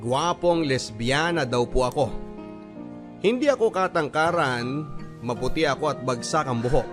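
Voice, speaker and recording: A man with a Filipino accent playing a cocky young tomboy, narrating a letter in a measured, confessional way for a radio drama. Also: medium pitch, animated, music in the background, lightly acted. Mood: confessional, proud.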